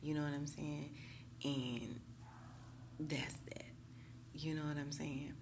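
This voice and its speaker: An American woman talking casually and emphatically to her viewers in a slangy, animated storytime style, often checking in with them. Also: low voice, takes it slowly, creaky, noisy background. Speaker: YouTube tarot reader.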